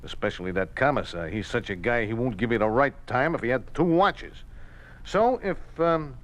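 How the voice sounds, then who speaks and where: A man's voice: medium pitch, expressive, normal speed, fairly bright tone, close to an everyday voice. Slangy, wry racetrack bookie, acted by a man. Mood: determined, wry. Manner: colorful Runyonesque slang, formal present tense. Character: slangy, wry small-time racetrack bookmaker, loyal underdog. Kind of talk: 1950s radio drama acting. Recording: vintage 1950s radio recording.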